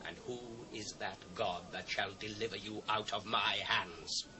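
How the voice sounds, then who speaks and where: A man's voice: fairly low pitch, fairly even intonation, a little slow, crowd noise, close to an everyday voice, rough recording. A British actor playing a proud, commanding king of the ancient world in a dramatised scripture reading, declamatory and imperious. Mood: imperious, threatening. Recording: faint hiss, old cassette transfer.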